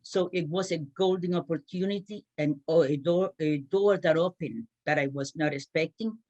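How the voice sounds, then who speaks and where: Low-voiced, middle-aged woman from Cuba. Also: normal speed, darkish tone, highs cut off. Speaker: panelist, translation business owner. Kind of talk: panel talk, personal career account.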